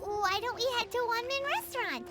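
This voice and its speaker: Video game fairy companion: squeaky-high little child voice, cheeky, swooping intonation, loud music bed. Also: female voice, expressive, fairly quick, heavily acted. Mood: chirpy. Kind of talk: video game character voice acting.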